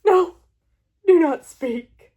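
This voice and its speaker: A woman's voice: extremely high pitch, expressive, extremely slow, mellow, dull audio, full character voice. A woman voicing a distraught, melodramatic male elephant in a storybook, wailing and stammering with exclamations. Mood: tragic despair.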